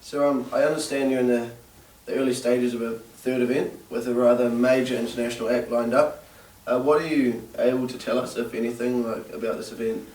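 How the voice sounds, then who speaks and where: A man interviewing his friend, reading steady prepared questions. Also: medium pitch, brisk. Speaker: interviewer, friend nominating the guest. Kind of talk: semi-scripted interview questions. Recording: distant roomy camera sound.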